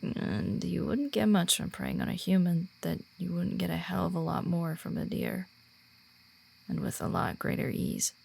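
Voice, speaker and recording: A woman's voice: on the low side, expressive, normal speed, gravelly, a little mellow, slightly rough, heavily acted. A woman voicing a friendly female werewolf who guides and reassures a new pack member in a confiding roleplay audio. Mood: confiding, concerned. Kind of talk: roleplay audio drama in ASMR manner.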